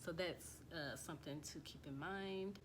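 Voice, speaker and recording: Low-voiced woman chatting casually. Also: moderate pace, noisy background. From United States. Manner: chatty and loose, with frequent fillers and restarts. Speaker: vlogger. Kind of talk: casual talk to camera while reading results off a screen.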